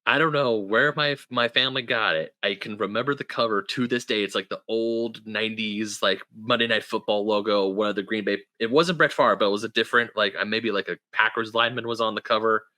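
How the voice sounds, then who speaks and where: A man's voice: fairly low pitch, a little fast, few pauses, sharp tone, no echo. A man in his thirties hosting a podcast, chatting casually and rambling with many restarts. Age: 30s. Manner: rambling, full of restarts and fillers. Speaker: podcast host. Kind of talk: casual chat.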